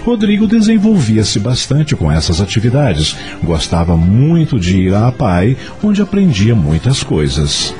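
A man's voice: lowish voice, wildly swooping pitch, a little slow, few pauses, a little mellow, faint music behind. A man with a Brazilian accent narrating a scripted radio drama.

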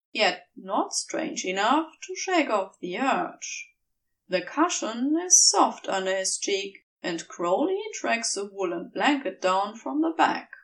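Female fiction narrator: gentle, unhurried, highly melodic delivery, measured storytelling. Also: distant mic, rough recording. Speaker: podfic narrator.

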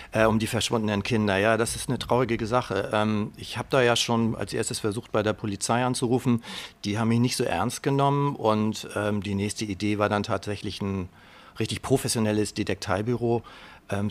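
A man's voice: lowish voice, natural voice. Hesitant, colloquial headmaster played by a man. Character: male school headmaster, friendly, cautious, a bit worried. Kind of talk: semi-improvised school radio play.

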